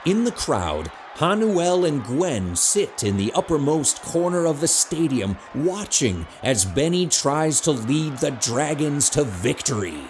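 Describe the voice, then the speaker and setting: Male narrator for dramatic scripted storytelling, slightly high voice, clear background noise. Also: sing-song, measured pace, hardly any pauses, darkish tone.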